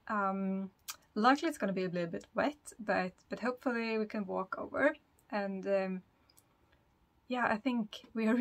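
A woman's voice: mid-range voice, no echo. A woman in her thirties, a native Swedish speaker, chatting casually to the camera from a sailboat cabin and thinking aloud with many fillers. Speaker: sailing vlogger. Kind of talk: casual vlog talk to camera.

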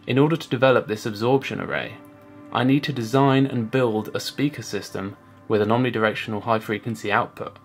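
A man's voice: mid-range voice, fairly quick, soft background music. A man in his thirties giving a scripted explainer to camera as a student presenting his project. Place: small indoor room at home.

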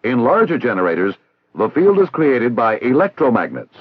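An American man reading scripted instructional narration in a formal, measured, didactic way, as on a 1960s training film soundtrack. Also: medium pitch, big pitch swings, breathy edge, no room sound, narrow, tinny audio. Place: recorded voice-over.

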